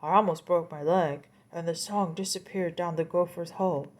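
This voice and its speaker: A woman's voice: lowish voice, fairly even intonation, moderate pace, darkish tone, slight background noise, close to an everyday voice. A woman voicing an eager, forgetful male coyote in a children's story, pleading and grumbling by turns.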